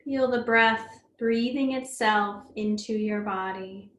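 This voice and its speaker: A woman leading a guided meditation as a teacher, speaking gently and soothingly, unhurried, with long pauses. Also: a little high. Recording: roomy echo, faint background noise.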